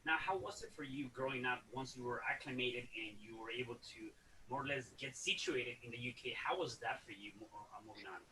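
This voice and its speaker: A man's voice: medium pitch, brisk, bright, forceful tone. A man from Mexico hosting a podcast, asking encouraging open questions and sharing his own story. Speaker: podcast host. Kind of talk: interview questions and reactions. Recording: distant mic with faint background noise.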